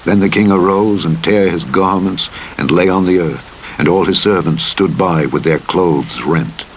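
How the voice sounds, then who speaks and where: A man reading scripture aloud in a measured, solemn way, with rain and engine noise behind. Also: sits low, rough recording. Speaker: scripture reader.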